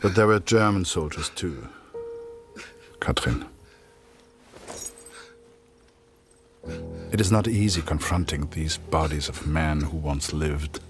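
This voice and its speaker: An actor playing a grave, weary middle-aged soldier, narrating solemnly and with measure in a dramatic game. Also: male voice, a bass voice, a little slow, over music. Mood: solemn, haunted. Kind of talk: scripted dramatic narration, letter-like.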